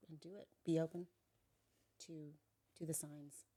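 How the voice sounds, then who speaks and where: A woman with a slightly low voice chats casually about acting work. She speaks hesitantly, with restarts, and lets her sentences fade out quietly. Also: level tone, moderate pace. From Filipino. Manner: hesitant, trailing off softly. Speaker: actor. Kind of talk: casual chat.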